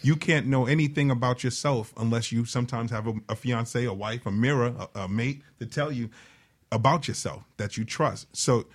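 Male panelist, average pitch, reasoning aloud in a conversational motivational style with many asides. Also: normal speed. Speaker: talk show panelist.

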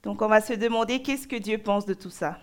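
A woman's voice: mid-range voice, a little hiss or hum. Nigerian-born woman preaching in short phrases. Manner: speaks in short phrases, pausing for an interpreter. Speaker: preacher giving a testimony. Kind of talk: sermon in French.

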